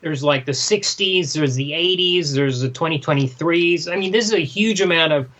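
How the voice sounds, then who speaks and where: A man's voice: on the high side, normal speed, fairly bright tone, lo-fi sound. A man co-hosting a podcast in casual discussion, giving his review. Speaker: podcast co-host reviewing comics.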